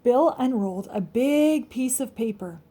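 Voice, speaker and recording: A woman teacher reading a children's story aloud expressively, pausing to explain in an emphatic way. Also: a little high, lively intonation, warm tone.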